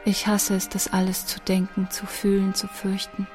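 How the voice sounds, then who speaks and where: An actress plays a young woman musician facing death in a radio drama monologue. Her voice sits at a middle pitch and moves very little. She is grave, inward and bitter, with faint music around her. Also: completely flat delivery, moderate pace, a little mellow, lightly acted. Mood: anguished, bitter.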